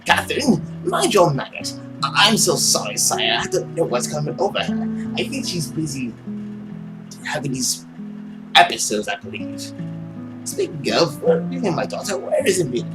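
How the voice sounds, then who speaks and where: A man voicing an embarrassed father of a noble family in a visual novel, halting and deferential. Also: on the high side, wildly swooping pitch, music in the background, rough recording. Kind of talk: visual novel character read-aloud.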